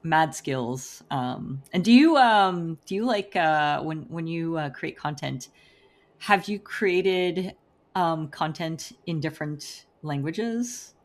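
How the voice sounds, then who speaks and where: Bubbly low-voiced woman podcast host. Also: expressive, slow pace. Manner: bubbly, laughs often. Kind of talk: upbeat podcast interview.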